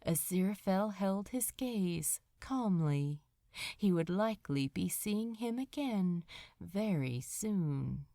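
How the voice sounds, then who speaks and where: A woman reading fiction aloud as a narrator, with expressive, dramatic delivery. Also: fairly low pitch, no echo, studio quality. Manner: slow, expressive, dramatic storytelling. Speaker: audiobook narrator.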